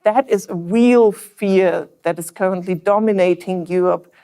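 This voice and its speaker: A woman with a German accent giving analytical remarks on a panel, earnest and measured, with some fillers and restarts. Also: medium pitch, warm tone, smooth.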